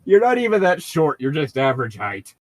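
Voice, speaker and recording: A man's voice: on the high side, big pitch swings, a little fast, no echo. A man in casual, joking conversation among friends.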